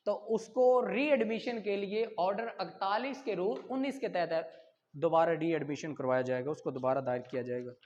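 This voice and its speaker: A woman with a Pakistani accent teaching like an exam tutor, reading out questions and explaining the answers in a didactic way. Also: on the low side. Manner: didactic, poses questions then answers them, repeats key points. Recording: muffled lo-fi sound, long hall-like reverb.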